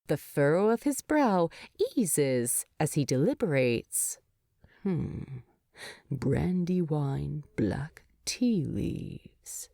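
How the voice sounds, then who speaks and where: A woman reading scripted story narration in an expressive storytelling style. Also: deep voice, dry recording, clean studio sound. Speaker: fan fiction narrator.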